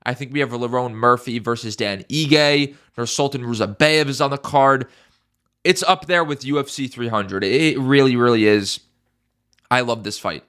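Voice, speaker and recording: A man's voice: medium pitch, normal speed, fairly bright tone, smooth. A young man with a congested, stuffy voice giving casual fight predictions, emphatic and repeating his points, swearing now and then. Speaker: MMA YouTuber.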